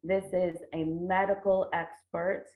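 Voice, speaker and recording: A middle-aged American woman giving a formal academic conference presentation, phrasing carefully with pauses. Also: on the low side, flat delivery. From United States. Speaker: conference presenter, traditional medicine researcher. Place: indoor room, remote online presentation. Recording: roomy, tinny phone-like online call audio.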